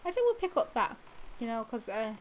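A woman's voice: on the high side, sing-song, fairly quick. A young woman with a stuffy nose from a cold, giving rambling casual commentary over a video game, full of fillers and restarts. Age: young adult. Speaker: let's play gamer. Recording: audible background noise.

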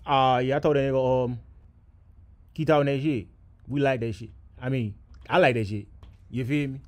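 A man's voice: mid-range voice, steady tone, normal speed, darkish tone. A man doing comedic voiceover of basketball players and referees, with profane, slangy trash talk.

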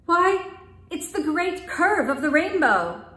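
A woman's voice: very high-pitched, big pitch swings, takes it slowly, fairly bright tone, reverberant, pitched above the performer's own voice, full character voice. A woman voicing a brave young scarred girl. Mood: wondering.

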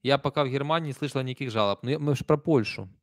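A man's voice: medium pitch, rapid-fire, no room sound, studio quality. A man in his thirties from Ukraine with a Kyiv accent, chatting emphatically on a live stream while reading out viewer comments. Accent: Kyiv Russian. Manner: conversational, emphatic, soft-spoken asides. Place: small room indoors. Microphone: desk stand mic close up.

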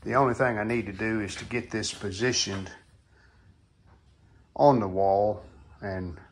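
A man's voice: on the low side, fairly even intonation, unhurried, husky. A middle-aged man hosting a how-to video, talking casually and thinking aloud as he works in an outdoor concrete stairwell. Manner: plainspoken, thinking aloud while working. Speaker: DIY channel host. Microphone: camera mic, sometimes far from camera. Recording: outdoor camera audio, birds and ambient noise. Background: outdoor ambience with birds.

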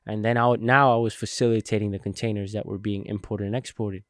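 A young man in his twenties speaks in an earnest, steady storytelling manner with a few fillers. His voice sits a bit low, recorded close and dry on a boom podcast mic in a warehouse studio. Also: fast talker, dry recording. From New Jersey, United States. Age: 20s. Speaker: guest, logistics entrepreneur. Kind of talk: interview storytelling. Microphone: boom-mounted podcast mic.

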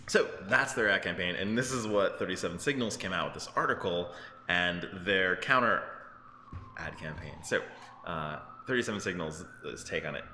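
A man's voice: sits low, moderate pace, pushed and bright. A man in his thirties co-hosting a casual tech news chat, often breaking off mid-thought and checking for agreement. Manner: self-interrupting, conversational, seeks agreement with "right?". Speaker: co-host of a tech news show. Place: open-plan office, indoors on a couch. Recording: roomy, echoey office, faint background noise.

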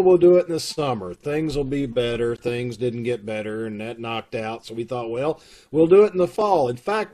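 Middle-aged man, medium pitch, casual announcer, explaining things to viewers, home video call. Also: a little mellow. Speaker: troupe announcer. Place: room at home, indoors.

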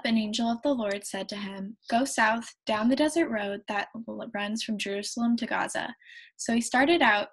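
A young woman reading a Bible passage and an announcement aloud in a measured way, on a webcam at home. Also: mid-range voice, breathy edge. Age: young adult. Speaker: co-host reading scripture. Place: bedroom at home.

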